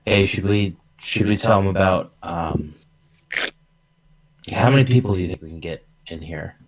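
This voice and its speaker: A man's voice: low voice, normal speed, poor audio. A man hosting a livestream podcast over computer voice chat, chatting casually in a rambling, jokey way. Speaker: podcast host.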